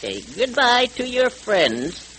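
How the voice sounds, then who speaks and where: An actor in a vintage radio serial playing a coldly vengeful masked avenger, with a theatrical, deliberate, menacing delivery. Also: male voice, very high-pitched, sing-song, bright, forceful tone, heavily acted. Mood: stern accusation. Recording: noisy, crackly old radio recording.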